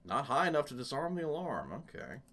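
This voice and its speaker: A man's voice: mid-range voice, sing-song, brisk, bright, forceful tone. A man giving casual, jokey let's play commentary, muttering to himself as he goes, as the host of a gaming channel.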